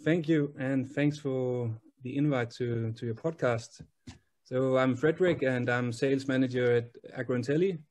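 A man's voice: mid-range voice, normal speed, a little mellow. A young man with a Danish accent, speaking as a guest on a video call interview, with lots of fillers and restarts. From Denmark. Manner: hesitant, many fillers and restarts. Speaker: guest, sales manager. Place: small room indoors. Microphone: laptop webcam on video call.

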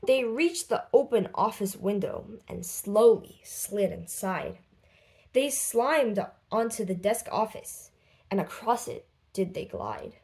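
A woman reading a children's book aloud expressively, with deliberate, dramatic phrasing and lifted voices for dialogue. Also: mid-range voice, big pitch swings, darkish tone. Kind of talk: expressive storybook read-aloud.

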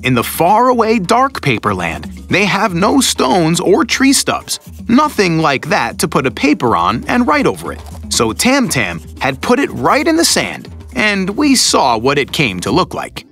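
A man narrating a children's story in a playful, expressive storybook manner. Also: high voice, a little slow, faint music behind.

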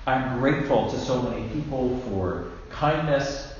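A man in his fifties, a bishop giving a measured, deliberate sermon with emphatic pauses. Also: mid-range voice, lively intonation. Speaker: bishop preaching. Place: indoors in a church at a lectern. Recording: very echoey church, dull sound, faint noise.

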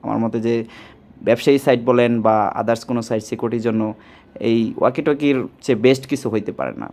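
A man's voice: medium pitch, fast, darkish tone. A man with a Bangladeshi accent giving an informal sales demonstration of a product, repeating himself and mixing in English words. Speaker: product presenter and seller. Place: indoors at a table. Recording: clearly audible background ambient noise.

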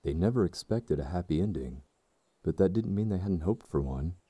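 A man narrates a full-cast audiobook with an extremely deep, dark voice. His reading is measured and somber, with a husky edge, recorded dry and close. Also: lively intonation, no echo.

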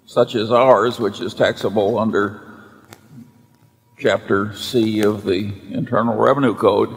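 An older man on stage at a table giving a blunt, matter-of-fact answer. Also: lowish voice. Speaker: company vice chairman. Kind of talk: unscripted answer at shareholder meeting. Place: large hall on stage at table. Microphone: table mic. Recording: long hall reverb.

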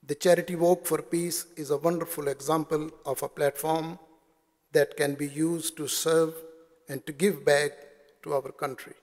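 A middle-aged man speaking as a charity chairman at a podium in a large hall, steady and formal. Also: a little high, normal speed. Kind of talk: formal speech. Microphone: podium microphone. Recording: long hall reverb.

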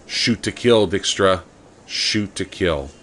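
An actor playing a stern, ruthless, sardonic official in an audio drama, blunt and gruff. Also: male voice, low voice, expressive, slow, a bit bright, a little hiss or hum, full character voice.

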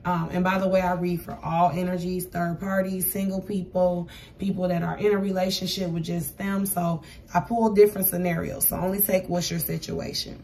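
A hoarse, raspy woman giving a casual tarot reading at a table, chatty and repeating "okay?" to her viewers. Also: fairly low pitch, little pitch movement, normal speed, darkish tone, far from the mic, a little hiss or hum. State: hoarse, voice going for days. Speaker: tarot reader. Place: indoors at a table with cards and crystals. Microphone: camera across the table.

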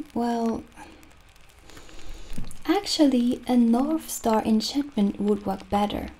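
A gentle witch shopkeeper, speaks softly in an ASMR roleplay. The voice is fairly high yet mellow, with a marked creak, and stays soothing and reassuring. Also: slight background noise, poor audio. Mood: helpful, calm. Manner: soft, soothing, unhurried. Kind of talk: soft-spoken ASMR roleplay. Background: soft music.

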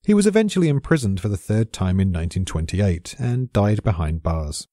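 A man with a British accent narrates in a low, mellow voice that swings expressively in pitch. He sounds like a wry, well-read storyteller explaining from a script. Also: sing-song, a little fast, dry recording, clean studio sound. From Britain. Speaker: expert narrator.

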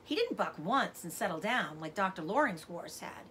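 A middle-aged woman reading a children's novel aloud as a teacher does, with expressive narration. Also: fairly low pitch, normal speed, a little hiss or hum. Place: indoors, room with bookshelves.

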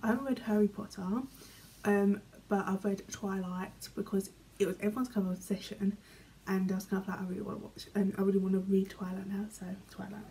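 Young British woman for a casual bedroom vlog, warm and mellow, rambling, trailing off softly, faint room hum. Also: medium pitch, flat delivery, brisk, rough recording. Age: young adult. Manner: chatty, filler-heavy, often trails off quietly. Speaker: vlogger. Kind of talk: casual chat, reading questions aloud. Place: small bedroom, indoors. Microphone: camera in front, medium framing.

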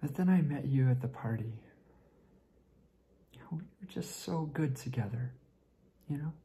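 A man performing a dramatic monologue as a tipsy, lovesick young man confessing to a friend, halting and repetitive, with a creaky voice that often drops toward a whisper. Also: mid-range voice, lively intonation, dark tone, natural voice. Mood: aching, confessing. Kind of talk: dramatic stage monologue rehearsal.

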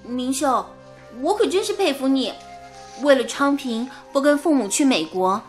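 A woman's voice: high voice, lively intonation, normal speed, faint music behind. An actress playing an earnest young woman working at a wartime radio station, in radio drama dialogue. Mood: sincere, reserved.

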